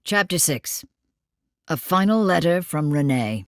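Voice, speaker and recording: An actress playing a grave, measured woman who reads letters and announces chapters in an audio drama. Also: low-pitched, expressive. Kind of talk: scripted audio drama acting.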